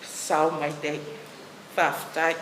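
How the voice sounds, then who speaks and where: High-voiced man giving formal oratory. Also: monotone, slow, noisy background. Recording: roomy echo.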